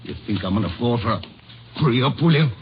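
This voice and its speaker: A man's voice: mid-range voice, animated, quick pace, muffled recording, natural voice. Male actor as threatening bank robber, American, curt and sneering, radio crime drama, noisy vintage recording. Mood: impatient, sarcastic. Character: menacing sarcastic male bank robber in Santa suit. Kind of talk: old-time radio crime drama acting.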